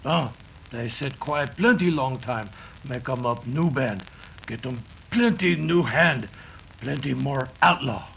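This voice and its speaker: Guy doing a loyal western sidekick, slow n gravelly, clipped pidgin english, old radio play. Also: mid-range voice, a bit bright, a little hiss or hum, low-quality recording. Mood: reporting. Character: small, loyal, plain-spoken male Native sidekick.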